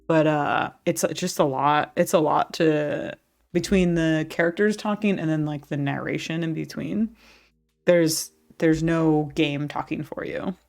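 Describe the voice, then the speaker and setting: A woman's voice: low voice, fairly even intonation, unhurried, gravelly, darkish tone. A young woman chatting casually as she streams a game and reads its dialogue aloud, laughing often and restarting her sentences.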